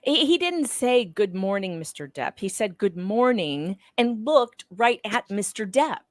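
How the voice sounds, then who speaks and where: A woman's voice: medium pitch, very animated, a little slow, no echo. Woman reading courtroom dialogue, dry close sound. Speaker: panelist reading court transcript parts.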